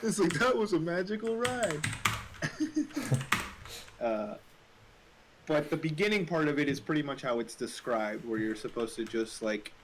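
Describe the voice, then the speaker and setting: A man's voice: a little high, animated, a little slow, long pauses. A man chatting casually in a hesitant, rambling way, often saying "like". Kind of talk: casual chat. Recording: noticeable background noise with typing. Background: keyboard typing and clicks.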